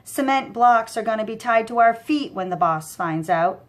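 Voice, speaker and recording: A big, slow-witted goon, acted out by a woman reading a children's book. He whines anxiously and rambles on in his own defense, like a sulky henchman in over his head. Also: a little high, lively intonation, close to an everyday voice. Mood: whining, panicky. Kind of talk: children's audiobook character voice.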